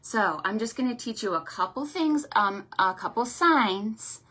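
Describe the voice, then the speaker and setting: A woman hosting a storytime for young children, with an animated, sing-song, encouraging way of talking, full of check-in questions and affectionate thanks. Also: a little high, a little slow, husky. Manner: affectionate, effusive greetings and thanks. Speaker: children's library storytime host.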